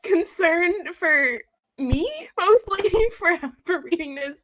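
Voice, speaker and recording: A young woman with a very high-pitched voice chats informally with a friend. She sounds giggly and a little hesitant, with an ironic way of putting things. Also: lively intonation, slow, fairly bright tone, low-quality recording. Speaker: co-host reading fanfiction. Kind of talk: casual joking chat on a call with a friend.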